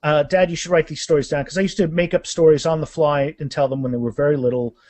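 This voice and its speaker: Man, middle-aged, higher voice, dry close recording, casual interview, animated storyteller, repeats phrases. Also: fast, dry recording. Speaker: author, podcast guest.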